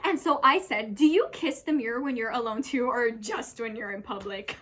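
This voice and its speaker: Woman performing as a unhinged young woman, very high bright voice, manic theatrical monologue, erratic, laugh-laced delivery. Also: normal speed. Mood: manic, giddy. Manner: theatrical, laughing through words, erratic outbursts. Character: manic, unhinged young woman telling bitter absurd stories.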